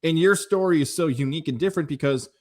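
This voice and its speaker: A man's voice: a little high, fast. An American man interviewing a guest conversationally as host, with talkative, long lead-ins to his questions.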